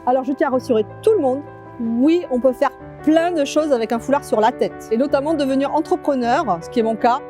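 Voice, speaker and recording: A woman in her forties, a writer, speaking in a measured, reassuring way in an interview. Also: sits high, warm tone, faint music behind.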